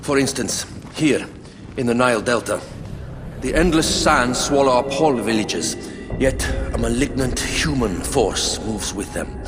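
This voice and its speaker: An actor voicing a solemn conspirator who advises a queen in a video game, with grave, measured, dramatic delivery. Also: mid-range voice, big-room sound, over music, lightly acted. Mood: ominous. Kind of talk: scripted video game cutscene acting.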